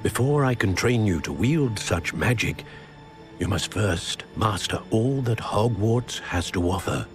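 An actor playing a measured, formal wizard mentor in a fantasy game cutscene. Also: male voice, fairly low pitch, expressive, over music. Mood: earnest, instructive. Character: measured, mentoring male wizard professor in a period setting. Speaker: professor. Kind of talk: dramatic game cutscene dialogue.

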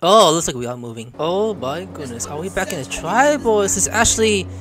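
A woman giving casual, excitable, exclamatory commentary while playing a video game, sometimes slipping into playful squeals. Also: on the low side, a little fast. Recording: loud game music behind.

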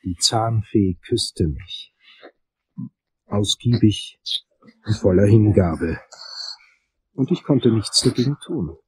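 A man playing a hard-boiled investigator, narrating a crime audio drama in a husky, dramatic, suspenseful way. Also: on the low side, slow pace, pauses often, darkish tone, no room sound, exaggerated voice, poor audio. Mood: tense, brooding. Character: hard-boiled male investigator telling his dark case.

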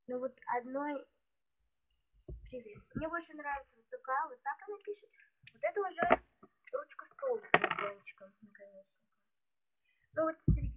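A woman vlogger showing her stationery at a desk, talking in a chatty, hesitant way while she is ill. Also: high-pitched. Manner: chatty, hesitant, many fillers. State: ill, voice affected. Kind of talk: casual show-and-tell. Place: indoors at a desk, hands on table. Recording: tinny phone-like audio with loud noise.

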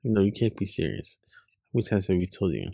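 A man with a husky, fairly low voice reads comic dialogue aloud. He loosely acts out the lines in an informal, casual way. The sound is close and dry, with a gravelly edge. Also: very animated, a little fast, dark tone, no room sound. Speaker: online comic reader. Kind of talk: reading webcomic dialogue aloud with casual reactions.

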